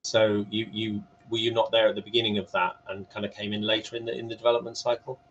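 A man's voice: fairly low pitch, little pitch movement, quick pace, fairly bright tone, slight background noise. A man moderating a panel conversation over a video call, asking questions in a hesitant, rambling way. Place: home room on a video call. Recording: dull compressed video-call audio.

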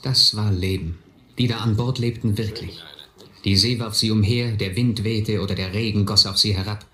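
Low, reflective male narrator. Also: fairly even intonation, moderate pace, sharp tone, slight background noise. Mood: reflective, wry. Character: reflective man recalling his boyhood. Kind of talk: first-person radio play narration. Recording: roomy, music behind.

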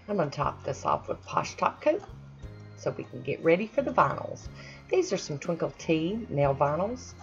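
Woman, very low pitch, casual friendly voice-over, self-deprecating, faint background music. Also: a little mellow, muffled recording. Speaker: nail art vlogger. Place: home manicure station, voice-over.

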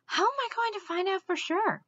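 A woman reads the part of a polite storybook king who sounds mildly puzzled. He asks his questions courteously. The delivery is bright, with lively pitch. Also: brisk, lo-fi sound.

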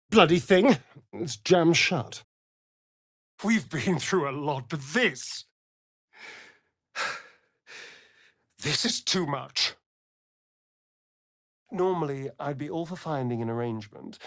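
An actor playing a sly, vain vampire rogue in a video game, with a theatrical, arch, drawling delivery. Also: male voice, a little high, slow pace, long pauses, a bit bright, no echo, clean studio sound. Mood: wry, teasing.

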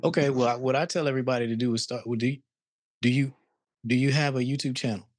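A man's voice: mid-range voice, steady tone, fast talker, no echo, clean studio sound. A man in his forties coaching a guest on a video call from his living room, direct and pushing. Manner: direct, pushing, repeats words. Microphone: studio mic on arm, video call.

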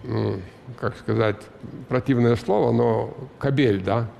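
Older male guest, gravelly voice with fry, hesitant fillers, headset mic, faint studio hiss. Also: medium pitch, slow pace, a little mellow, big-room sound. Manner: hesitant, many filler sounds, musing. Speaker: guest actor. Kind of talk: talk show interview. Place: TV studio with audience.

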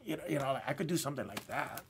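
A man's voice: medium pitch, quick pace, vocal fry, very noisy. Man, Hawaiian accent, livestream host, casual rambling chat, repeats words, laughs often, crinkling packs in the background. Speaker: livestream host of card breaks.

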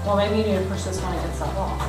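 A woman's voice: mid-range voice, steady tone, rapid-fire, music in the background. A woman in her thirties chatting casually and playfully, asking questions while working. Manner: playful, questioning, laughs easily. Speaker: homesteading vlogger. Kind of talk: casual chat. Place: unfinished wooden building, partly open to outdoors. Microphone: camera across the room. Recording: echoey room, voice from a distance.

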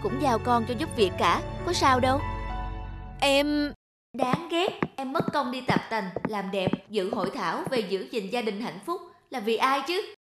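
Cartoon role: vain young wife and mother, breezy, bristles easily, music clearly behind. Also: on the high side, animated, measured pace. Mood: defensive, indignant. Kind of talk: animated cartoon dialogue.

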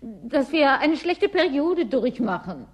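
A woman's voice: high-pitched, sing-song, slow, exaggerated voice. An actress playing a wistful, fretful woman clerk in a radio drama, with a brooding, uneasy manner. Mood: pleading. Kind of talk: German science-fiction radio drama acting.

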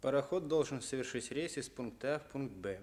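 Man playing an animated, earnest teenage tutor. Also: mid-range voice, fairly quick, natural voice. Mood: concentrating, muttering. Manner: muttering, then scolding. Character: earnest, exasperated teenage boy tutoring a younger neighbour. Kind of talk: Soviet radio play acting.

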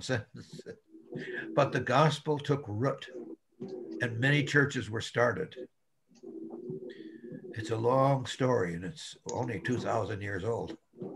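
An older man, a pastor, slowly teaching a Bible class over a video call, explaining step by step. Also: medium pitch, creaky, a bit bright, slight background noise, rough recording. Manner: slow, deliberate, explaining with repeated "okay?". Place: home room on a video call. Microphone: video call webcam.

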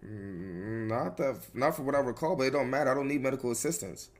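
A man's voice: mid-range voice, fast. A man streaming a roleplay game, talking casually and evasively with slang and swearing.

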